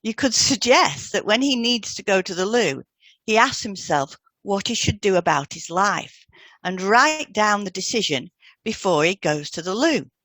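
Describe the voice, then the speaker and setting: A woman's voice: medium pitch, big pitch swings, normal speed, a bit bright, no echo. A woman co-hosting a podcast, explaining therapy techniques in a scripted dialogue. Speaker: podcast co-host, hypnotherapist.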